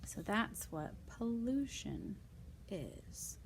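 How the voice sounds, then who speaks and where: Woman, mid-pitched voice, children's read-aloud, casual asides, simple child-directed explanations, some background noise. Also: very animated, drawn-out words, gravelly.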